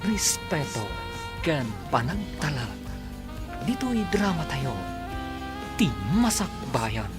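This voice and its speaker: A man announcing and narrating a radio drama in a drawn-out, formal broadcast style. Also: fairly high pitch, big pitch swings. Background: music.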